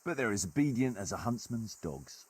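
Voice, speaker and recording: An actor playing a gruff, wry medieval nobleman turned mercenary leader in a video game, telling stories in colloquial, old-fashioned turns of phrase with a husky voice. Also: lowish voice, sing-song, normal speed, a little mellow, dry recording. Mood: wry, admiring. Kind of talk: dramatic storytelling in a video game cutscene.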